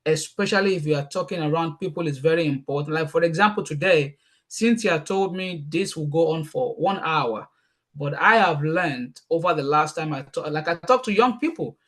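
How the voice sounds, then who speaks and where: A man from Nigeria with a Nigerian accent, whose first language is Yoruba, speaking as a guest on a leadership panel and talking passionately. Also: on the high side. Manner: passionate, fast and emphatic, repeating words when excited. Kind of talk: panel talk answering questions.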